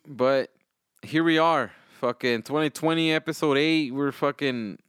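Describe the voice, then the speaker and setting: A man's voice: fairly high pitch, slow, fairly bright tone, clean tone, no room sound, clean studio sound. A Mexican-American man hosting a casual podcast, switching between English and Spanish with a loose, profane way of talking. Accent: Mexican-American, mixing English and Spanish. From United States. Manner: loose and profane, switching languages mid-sentence. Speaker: podcast host.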